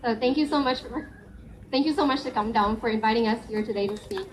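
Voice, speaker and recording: A young American woman, a student association president, giving a prepared speech at a community event and reading briskly with small restarts. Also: a little high, noisy background. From United States. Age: young adult.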